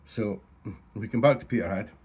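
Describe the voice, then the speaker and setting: A man's voice: fairly low pitch, normal speed, a little mellow, husky. A Scottish man vlogging about his fishing, chatting casually with lots of swearing and ums, his voice stuffy and nasal from a blocked nose. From Scotland. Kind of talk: casual chat to camera.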